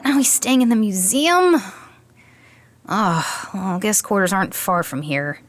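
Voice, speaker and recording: An actress playing an exasperated, sardonic young animal keeper in an audio drama, with a snippy, dramatic, grumbling manner. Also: female voice, medium pitch, big pitch swings, measured pace. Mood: grumbling, scheming. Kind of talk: audio drama character acting.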